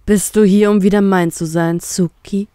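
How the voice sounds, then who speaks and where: A woman's voice: medium pitch, fairly even intonation, normal speed, warm tone, clean tone, no echo, studio quality, natural voice. A woman voicing a sly, domineering male pirate with a teasing, commanding way of talking. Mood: knowing.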